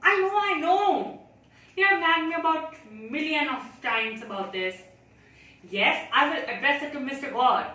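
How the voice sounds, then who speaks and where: Woman acting as nervous chatty little kid, high voice, theatrical monologue, kinda far from the mic in a big echoey room. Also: expressive, bright, forceful tone, exaggerated voice. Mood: defensive, pleading. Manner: dramatic, talking to oneself, deliberate pauses. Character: anxious, chatty, naive child, Billy, writing to God. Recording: very echoey room, distant mic, faint noise.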